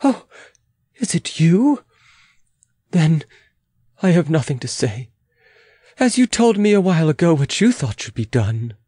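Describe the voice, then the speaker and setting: A man's voice: a little high, sing-song, a little slow, pauses often, dark tone, slightly rough, no room sound, clean studio sound, pitched above the performer's own voice, heavily acted. A man voicing a proud, imperious and agitated queen in a dramatic audiobook, haughty and exclamatory. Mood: bitter, haughty. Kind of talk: dramatic audiobook character reading.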